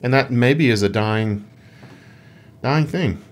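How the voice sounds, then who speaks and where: A man's voice: medium pitch, slow. A man co-hosting a casual gaming podcast with a dry, tongue-in-cheek way of talking. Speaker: podcast co-host.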